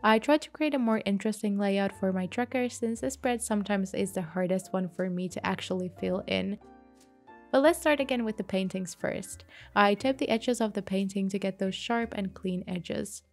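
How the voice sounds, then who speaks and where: A woman giving a calm, gentle voice-over for her journal painting video, a little sick from a mild flu. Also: medium pitch, moderate pace, faint music behind. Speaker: bullet journal creator. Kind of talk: voice-over narration of a craft video.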